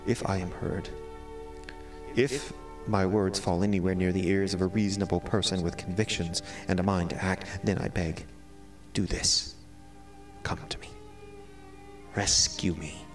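An actor playing an eloquent, melodramatic cat who sees himself as a prisoner, speaking in formal, florid, theatrical monologue. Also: male voice, low voice, steady tone, unhurried, recorded from a distance. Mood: earnest pleading. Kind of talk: dramatic first-person audio drama monologue. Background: music.

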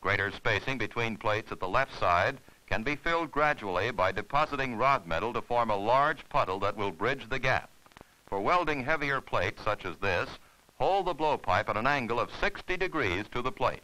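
A man's voice: mid-range voice, expressive, normal speed, sharp tone, poor audio. A man narrating an instructional film in a formal, scripted, step-by-step way.

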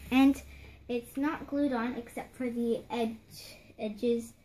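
A woman's voice: a little high, fairly even intonation, slow pace, dark tone, a little hiss or hum, poor audio. Young girl chattily touring a doll house. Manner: chatty, rambling, many fillers and restarts. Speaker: vlogger showing a doll house. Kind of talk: casual show-and-tell narration. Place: home room beside a handmade doll house. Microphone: phone camera held close, hands in frame.

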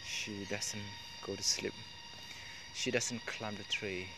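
A man with a low voice narrates in non-native English as he walks through trees at night. He keeps coaxing young monkeys, speaking softly into a handheld phone. Loud outdoor noise fills the recording behind him. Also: flat delivery, slow pace. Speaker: channel host filming monkeys. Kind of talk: casual vlog narration. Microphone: handheld phone camera.

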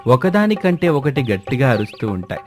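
A man's voice: mid-range voice, big pitch swings, fairly quick. A man narrating a children's cartoon story as a scripted storyteller. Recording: music bed under the voice.

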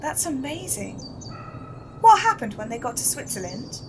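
A woman's voice: sits high, big pitch swings, moderate pace, noisy background. A woman acting in an audio drama, playing an inquisitive journalist who keeps asking questions.

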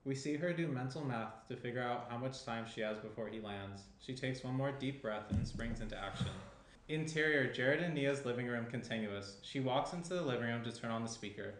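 A man's voice: medium pitch, brisk. A young man reading scripted screenplay narration as the narrator. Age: young adult. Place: small indoor room at a round table. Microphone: shared desk microphone. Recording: roomy echo, faint noise.

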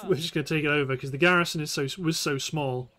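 Higher-voiced British man, casual gaming commentary. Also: fairly even intonation, fast talker, crowd noise. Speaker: gameplay host. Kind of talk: casual let's play commentary on a strategy game.

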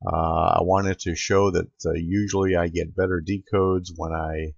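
A man's voice: low-pitched, flat delivery, measured pace, vocal fry, husky, dry recording. A man narrating an informal screen-capture tutorial as an amateur radio operator, recorded close on a dull computer microphone.

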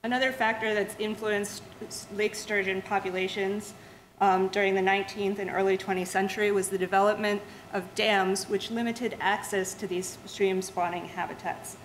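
A woman's voice: mid-range voice, moderate pace, slight background noise, rough recording. A woman giving a public science lecture in a lecture room, explaining steadily and restarting words often. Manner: steady explanatory pace with frequent restarts and fillers. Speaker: museum lecturer.

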